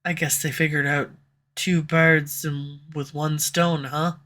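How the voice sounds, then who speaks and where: A woman voicing a sharp-tongued, tsundere female villain who swears and speaks sarcastically, recorded close on a studio condenser mic. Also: low voice, level tone, slow pace. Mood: confessional. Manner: sarcastic, swears, turns confessional. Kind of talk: audio roleplay. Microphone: studio USB condenser mic.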